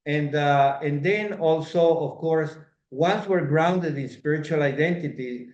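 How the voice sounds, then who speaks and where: A middle-aged man speaks in earnest, looping phrases, his voice sitting a little high for a man. He sounds like he is in a home room on a video call, with a roomy echo around him. The audio has a dull, muffled edge. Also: a little slow, darkish tone. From Venezuela. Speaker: interview guest. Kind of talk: reflective spiritual conversation. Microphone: video call webcam.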